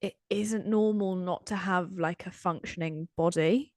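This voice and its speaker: A woman hosts a podcast interview with a slightly croaky voice. Her pitch sits low for a woman, and the sound is dry and close. She asks earnest, drawn-out questions, padded with "like". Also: fairly even intonation, darkish tone, dry recording. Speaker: podcast host.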